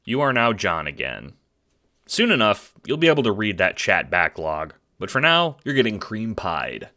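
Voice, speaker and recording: A man's voice: on the low side, wildly swooping pitch, moderate pace, sharp tone, slight background noise. A man narrating an audio drama in the second person, with dramatic storytelling delivery. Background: music.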